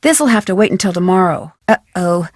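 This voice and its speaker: A young American woman playing a plucky, curious amateur detective in a video game, with crisp, expressive, self-narrating delivery. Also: on the low side, normal speed, dry recording, clean studio sound. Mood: puzzled, thinking aloud. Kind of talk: scripted video game character lines.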